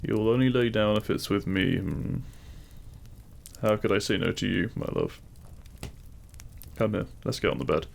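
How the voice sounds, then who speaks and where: An actor playing a demon king, a deliberate and intimate lover, speaking straight to the listener in a romantic audio roleplay. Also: fairly low pitch, vocal fry, a little hiss or hum. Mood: loving, gentle. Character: feared demon king, menacing in public, tender lover in private.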